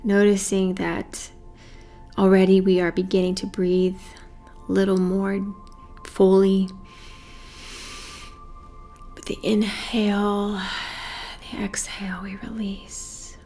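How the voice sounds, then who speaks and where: A woman leading a guided meditation in a soothing, measured manner, with breathy phrase endings and pauses between phrases. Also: mid-range voice, monotone, warm tone, faint music behind.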